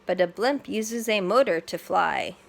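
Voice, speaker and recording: Lady reading as a tour guide in a kids book, explaining stuff simply, really lively intonation. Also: mid-range voice, measured pace, creaky. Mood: informative. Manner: explains simply in short declarative sentences. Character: informative, welcoming air show tour guide.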